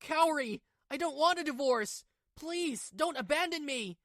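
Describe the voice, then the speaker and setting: A woman's voice: high voice, lively intonation, measured pace, fairly bright tone, no echo, studio quality. A woman voicing a weak, cheating husband in a manga dub, stammering and pleading.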